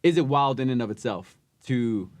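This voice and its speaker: Man, podcast host, halting, searching for words, mid-pitched, dry room. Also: expressive, no echo. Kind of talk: casual podcast interview.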